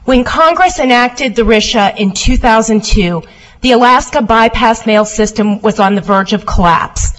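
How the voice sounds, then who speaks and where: A woman lawyer giving a formal oral argument before appeals judges, loud and steady as she answers questions. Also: a little high, normal speed, poor audio. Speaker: appellate lawyer.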